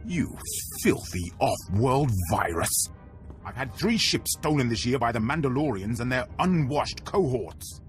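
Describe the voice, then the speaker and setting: A blustering spaceport guard. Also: male voice, mid-range voice, sing-song, a little slow, faint music behind. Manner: blustering, insulting.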